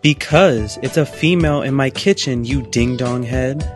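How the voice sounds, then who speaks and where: A man's voice: medium pitch, normal speed, close to an everyday voice. A man playing a stubborn, domineering, dismissive father in an amateur game roleplay. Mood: irritated. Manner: pompous, dismissive. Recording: music behind the voice.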